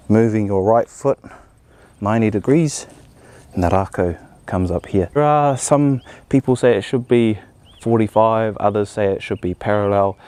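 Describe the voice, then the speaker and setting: A deep-voiced man walks through a tutorial in a relaxed, step-by-step way. His voice is raspy after a cold. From New Zealand. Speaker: mau rākau instructor, channel host. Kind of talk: casual spoken tutorial.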